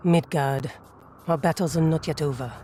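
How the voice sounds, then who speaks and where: A woman's voice: low voice, fairly even intonation, moderate pace, mellow, a little hiss or hum, natural voice. A woman playing a resolute, battle-ready warrior in a video game cutscene. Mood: grave.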